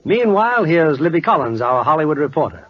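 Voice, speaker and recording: A man's voice: fairly high pitch, big pitch swings, a little fast. A man hosting a show in a scripted 1940s radio announcer style. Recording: muffled old radio broadcast recording.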